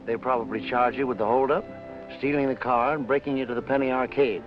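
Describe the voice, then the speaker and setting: An actor playing a calm, level-headed man in a 1950s film noir. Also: mid-range voice, fast, natural voice. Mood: measured. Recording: dull old film soundtrack, music behind.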